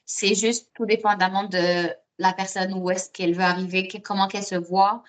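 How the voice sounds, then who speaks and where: Female interview guest from Morocco, mid-range voice, conversational, halting and repetitive while thinking. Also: medium pitch, little pitch movement. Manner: hesitant, repeats words while thinking. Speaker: podcast guest; osteopath and student. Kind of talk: casual interview, personal storytelling.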